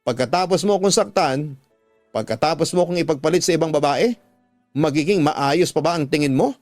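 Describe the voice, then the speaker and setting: A man's voice: fairly high pitch, brisk, a little mellow, no echo, lightly acted. A man playing a heartbroken, bitter jilted lover in a radio drama, speaking with reproachful emphasis over music. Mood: bitter, emphatic. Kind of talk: Filipino radio drama acting.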